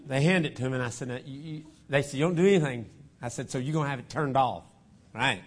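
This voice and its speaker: Hoarse folksy preacher. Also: male voice, medium pitch, quick pace. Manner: folksy, self-deprecating, chuckling asides. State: hoarse from a cold. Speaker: guest preacher. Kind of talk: joking sermon opening. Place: church auditorium. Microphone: lapel mic.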